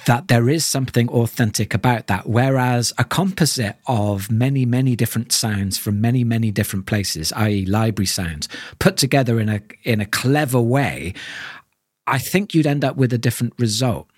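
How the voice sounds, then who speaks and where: A man's voice: medium pitch, normal speed, no room sound. Thoughtful man, dry close sound. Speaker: podcast co-host. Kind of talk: reflective discussion of audio drama.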